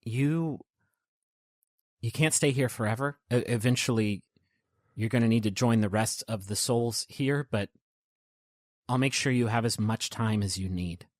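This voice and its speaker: A man's voice: medium pitch, unhurried, pauses often, no echo, studio quality, close to an everyday voice. A man voicing a kindly, reassuring male guide of souls in a tabletop game's afterlife. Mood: gentle warning. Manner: gentle, reassuring. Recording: prominent piano music underneath.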